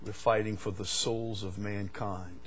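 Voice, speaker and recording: An older man from the United States preaching a sermon, swinging from loud, fervent stretches to quiet asides. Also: on the low side, little pitch movement, unhurried, a little hiss or hum.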